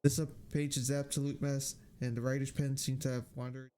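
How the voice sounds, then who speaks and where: A male game streamer reads lines aloud in a halting, subdued manner. His voice is smooth and dark, with little movement in pitch. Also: level tone. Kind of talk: reading game dialogue aloud on a livestream.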